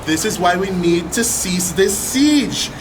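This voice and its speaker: An actress playing a conciliatory Trinidadian authority figure in a radio drama, measured and placating as she calms angry villagers. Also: lowish voice, noisy background.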